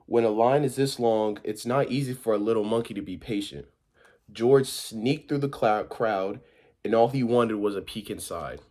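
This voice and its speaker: A man's voice: fairly low pitch, moderate pace, mellow. A man reading a children's story aloud as a volunteer, informal and occasionally stumbling over his words.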